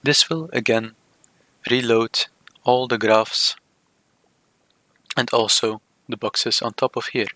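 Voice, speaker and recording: A man narrating a software tutorial step by step, speaking in short, measured, halting phrases. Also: medium pitch, no room sound. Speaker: software demonstrator. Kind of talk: scripted tutorial narration of a screen demo.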